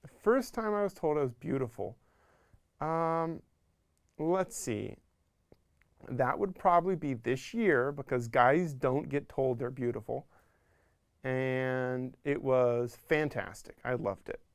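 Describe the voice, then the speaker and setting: Reflective man in his thirties, clear voice. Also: medium pitch, takes it slowly, no room sound, clean studio sound. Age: 30s. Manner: reflective, repeats words as he thinks. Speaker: interviewee. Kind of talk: documentary interview.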